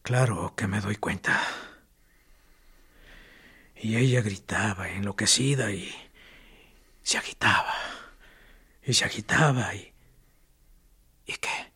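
An actor in a radio drama playing a calm, unbreakable prisoner who speaks quietly and often in a whisper. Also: male voice, fairly low pitch, takes it slowly, pauses often, husky, heavily acted. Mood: defiant whisper.

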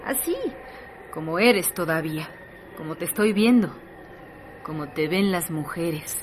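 An actress playing Sara, a calm woman who waits for her lover, soft and teasing, in a radio drama. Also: deep voice, a little slow, slight background noise. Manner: soft, teasing, with quiet laughs.

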